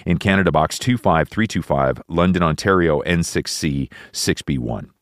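A man announcing a radio Bible program, reading an address and a short prayer in a measured, reverent way. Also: rumbling low, animated, no echo, clean studio sound.

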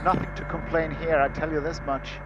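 A man's voice: fairly high pitch, brisk, over loud music, low-quality recording. A middle-aged man, talking casually through a headset mic while driving a sports car on a track. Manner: excited, exclaims while driving. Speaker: car vlogger. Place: inside a sports car on a racetrack.

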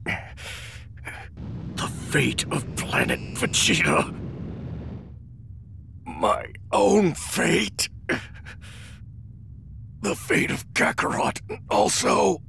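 Game hero: rough, defiant alien warrior, drawn-out dramatic lines, high-pitched strain, forceful tone. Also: male voice, wildly swooping pitch, a little hiss or hum, exaggerated voice. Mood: grim resolve. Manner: gruff, dramatic, impassioned. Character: defiant, hot-blooded male alien warrior, rough and reckless. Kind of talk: intense English game dub acting.

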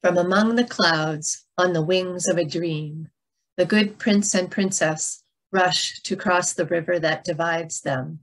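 A woman's voice: fairly low pitch, measured pace, rough recording. An older woman reading a poem aloud as a recitation. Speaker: poet reading own poem. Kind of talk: poetry recitation.

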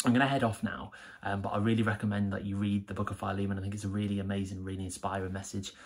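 A man's voice: fairly low pitch, level tone, very fast, breathy edge. A young man gives an earnest, enthusiastic devotional talk to camera in a small plain room. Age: young adult. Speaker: church member giving a devotional.

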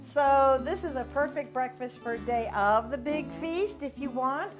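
A woman's voice: sits high, measured pace, soft background music. A woman in her sixties, a chef, demonstrating a breakfast recipe step by step in a home kitchen on a lapel mic. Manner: instructive, steady walkthrough of steps. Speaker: cooking segment chef. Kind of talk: cooking demonstration.

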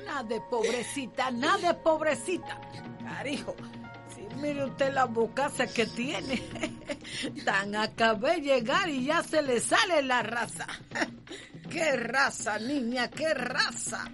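A high-pitched older Cuban midwife of the slavery era, speaks in a slow, folksy drawl. She is exuberant and tender, teasing with a cackle. It is radio-drama acting, with music underneath. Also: expressive. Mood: teasing, proud.

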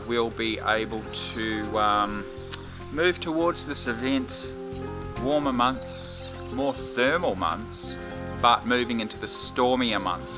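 Australian man chatting casually, music behind. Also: mid-range voice. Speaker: aviation vlogger. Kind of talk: casual vlog chat. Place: outdoors in a backyard.

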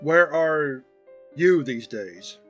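A man's voice: a little high, extremely slow, a bit bright, soft background music. A man voicing a concerned, hesitant adult grandson with an awkward, earnest, reserved manner in a story reading.

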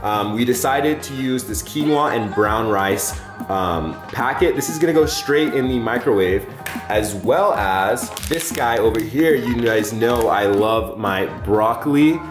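A young man talks straight to his viewers in an upbeat, chatty way while cooking at home. His voice bounces around a roomy kitchen, and clear background music runs beneath it. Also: medium pitch, lively intonation, unhurried. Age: young adult. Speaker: fitness vlogger, host. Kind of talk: casual cooking demo to camera.

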